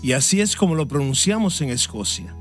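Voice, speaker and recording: A male pastor with a fairly high voice preaching in an earnest, measured way. His pitch rises and falls expressively through each phrase. Soft music plays faintly underneath. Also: expressive. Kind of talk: sermon and interview answers.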